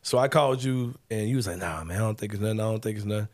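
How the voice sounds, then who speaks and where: A man's voice: fairly low pitch, racing, a little mellow. A man in his forties hosts a casual sports talk show. He talks in a laid-back way, full of slang and teasing. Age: 40s. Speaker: podcast host.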